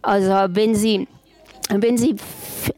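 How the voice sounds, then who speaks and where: A woman from Afghanistan speaking at a panel, impassioned and halting, often breaking off and restarting her sentences. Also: mid-range voice, steady tone, darkish tone, no room sound. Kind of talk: public panel statement.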